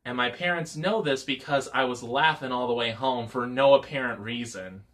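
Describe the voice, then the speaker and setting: A man's voice: mid-range voice, normal speed, fairly bright tone. A man in his twenties talking casually to camera as a vlogger, rambling with lots of filler words. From Michigan, US. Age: 20s. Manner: rambling, many "you know" fillers.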